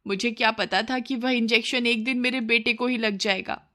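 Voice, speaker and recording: A woman's voice: a little high, fairly even intonation, moderate pace, sharp tone, voice pushed up. A woman voicing a greedy, sly village wife and mother in a cartoon story, with exclamatory, pleading speech. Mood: anxious, remorseful.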